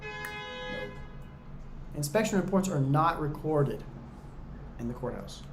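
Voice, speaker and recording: A man tutoring viewers through real estate exam questions in a casual, chatty way, joking and going a bit hoarse. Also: fairly high pitch, wildly swooping pitch, slow pace, echoey room, soft background music. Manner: chatty, talks straight to viewers, jokey asides. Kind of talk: casual practice-exam walkthrough.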